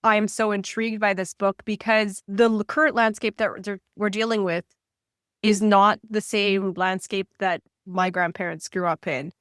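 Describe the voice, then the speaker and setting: A woman's voice: medium pitch, level tone, moderate pace, fairly bright tone, clean tone, dry recording, studio quality. A woman hosting a podcast, eager and enthusiastic as she talks with her guest. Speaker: podcast host. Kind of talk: podcast interview.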